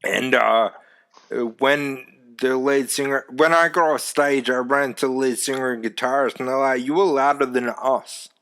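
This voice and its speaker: A man with a deep, loud voice, telling animated stories in casual chat and swearing casually. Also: moderate pace, clean tone. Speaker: former youth music event host.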